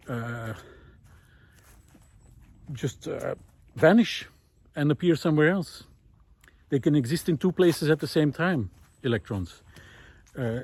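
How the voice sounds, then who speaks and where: A man with a medium-pitched voice records a casual philosophical monologue outdoors on a woodland trail. He speaks without a script, musing in a halting way and pausing to find his words as he thinks aloud. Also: darkish tone, dry recording. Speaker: host of a recorded monologue series.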